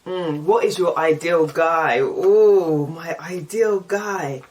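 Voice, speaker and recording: A woman's voice: on the low side, slow pace, a little mellow, distant mic. A young woman with an English accent chatting casually and candidly, reading out and answering get-to-know-me questions. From England. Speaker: vlogger.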